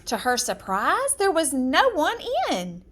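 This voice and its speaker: A woman narrates a children's fairy tale in a high voice that moves through lively swings of pitch. She takes her time, phrasing each part deliberately and with storybook drama. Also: a little hiss or hum. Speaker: storyteller reading aloud.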